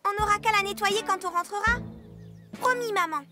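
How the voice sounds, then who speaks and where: A woman's voice: very high-pitched, fairly quick. A woman voicing a playful young girl in a French cartoon dub. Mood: pleading. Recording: studio voice with loud cartoon music.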